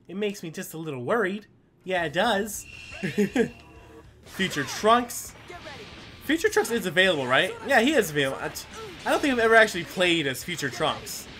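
A man's voice: high-pitched, a bit bright. A man hosting a gameplay video with casual, rambling commentary, full of exclamations and mild swearing. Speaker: gaming video host.

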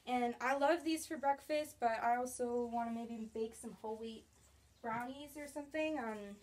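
A woman's voice: fairly high pitch, normal speed, a little mellow. Chatty young woman vlogging at home. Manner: chatty, bubbly, talks with her hands. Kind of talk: casual grocery haul vlog. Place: small studio apartment bedroom, indoors.

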